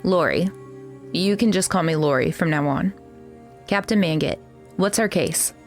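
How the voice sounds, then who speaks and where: A woman playing an earnest, regretful new recruit in an audio drama, speaking sincerely with halting pauses. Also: lowish voice, faint music behind. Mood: earnest, eager.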